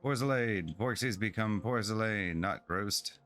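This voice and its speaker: A man's voice: on the low side, unhurried, a bit bright, faint music behind. A man reading aloud as a small, playful pixie sidekick, joking lightly as he goes. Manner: reads game dialogue aloud with light joking.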